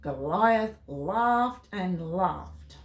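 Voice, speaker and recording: A woman's fairly low voice reads a children's story in a roomy, echoey space. She goes slowly and deliberately, keeping the wording simple and speaking straight to young listeners. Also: expressive. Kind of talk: read-aloud Bible storytime for children. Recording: roomy, echoey home recording.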